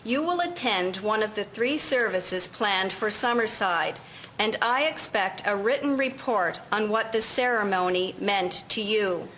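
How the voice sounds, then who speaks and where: Casting: actress as stern 1950s schoolmistress, bright forceful voice, measured and formal, audio play, slight background noise. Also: female voice, mid-range voice, close to an everyday voice, rough recording. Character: strict, proper 1950s schoolteacher, a woman. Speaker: actor in an amateur history drama. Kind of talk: scripted amateur audio drama.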